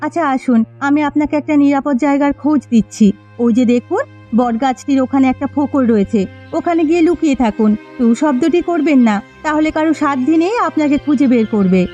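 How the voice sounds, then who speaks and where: Woman acting a high, mellow village housewife. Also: fairly quick, close to an everyday voice. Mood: concerned, helpful. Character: caring, anxious village housewife. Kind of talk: moral-story cartoon voice acting. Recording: dull lo-fi sound with music behind.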